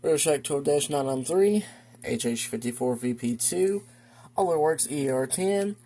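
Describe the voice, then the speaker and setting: A man's voice: on the high side, lively intonation, a little slow, mellow. A man giving a casual, rambling, matter-of-fact show-and-tell as a hobbyist collector at home. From United States. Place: indoors at home.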